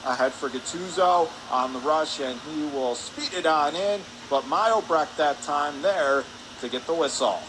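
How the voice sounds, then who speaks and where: Guy calling hockey on the radio, high voice, short punchy phrases, noisy arena. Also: measured pace. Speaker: play-by-play commentator. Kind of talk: live radio ice hockey play-by-play. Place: indoor ice hockey arena. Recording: noisy arena ambience behind.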